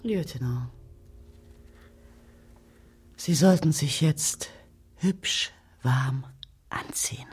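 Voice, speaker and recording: Low-voiced, cool female investigator. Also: extremely slow, pauses often, a little mellow, husky, exaggerated voice. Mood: coolly provocative. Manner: dry, insinuating. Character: cool, persistent female investigator, dryly provocative. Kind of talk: radio crime drama acting.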